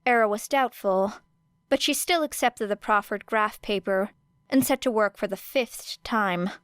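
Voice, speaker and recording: A woman narrating fan fiction as an audiobook in a steady, even storytelling voice of middling pitch. The recording is dry and close, with no room sound. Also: unhurried, clean tone, dry recording. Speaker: podfic reader.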